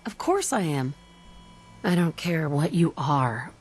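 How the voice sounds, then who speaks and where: A lowish-voiced, sincere female adventurer. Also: big pitch swings, slow, a little mellow, breathy edge, exaggerated voice. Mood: tender, flirtatious. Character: supportive, affectionate female wasteland adventurer. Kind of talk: video game dialogue.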